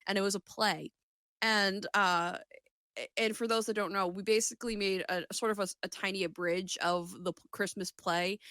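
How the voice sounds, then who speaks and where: A woman's voice: mid-range voice, fairly even intonation, moderate pace, fairly bright tone, dry recording. Sweary woman chatting, dry close sound. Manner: rambling, sweary. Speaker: podcast host. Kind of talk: casual chat.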